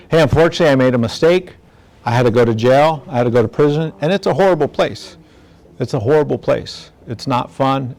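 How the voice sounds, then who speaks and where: A middle-aged man from the San Diego area with a medium-pitched voice. He presents informally to parents in the plain, direct way of a law enforcement officer doing community outreach. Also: darkish tone. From National City, San Diego area, United States. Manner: plainspoken, direct, acts out imagined dialogue. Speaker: law enforcement community speaker on gang prevention.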